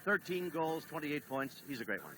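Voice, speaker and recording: A man doing play-by-play on a 1970s television soccer broadcast, briskly reading lineups and standings. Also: fairly high pitch, sing-song, background noise. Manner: brisk, reads lineups and stats. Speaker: play-by-play announcer.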